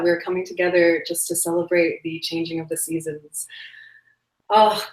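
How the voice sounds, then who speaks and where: Low, echoey woman hosting a live circle. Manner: soft-spoken, dreamy, many fillers. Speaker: host. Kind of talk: live spiritual broadcast, casual chat. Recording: echoey room.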